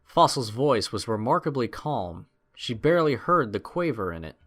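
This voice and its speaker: A man's voice: medium pitch, big pitch swings, moderate pace, a bit bright. A man narrating a scripted adventure story in a dramatic storytelling style.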